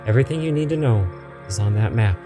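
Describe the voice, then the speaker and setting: A man's voice: on the low side, expressive, quick pace, close to an everyday voice. Game mystic: man playing a solemn woman guide, earnest and portentous, music underneath. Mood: urgent, solemn. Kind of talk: visual novel character read-aloud.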